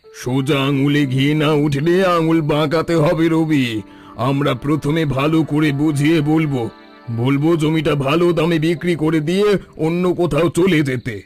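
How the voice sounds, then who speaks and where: Cartoon village headman, greedy and smooth-talking, voiced by a man, slightly high pitch over light music. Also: steady tone, normal speed, close to an everyday voice. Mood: scheming. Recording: soft background music.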